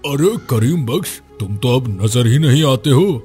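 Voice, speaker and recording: A man's voice: medium pitch, expressive, measured pace, a little mellow, faint music behind, close to an everyday voice. A man playing a nosy, suspicious villager in a scripted cartoon moral story. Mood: curious, probing.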